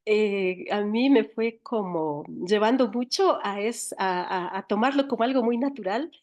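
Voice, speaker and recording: A woman's voice: mid-range voice, a little mellow, lo-fi sound. Thoughtful Ecuadorian woman in interview. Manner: thoughtful, halting with repeated words. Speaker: interviewed writer, storyteller and educator. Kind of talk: reflective interview answers.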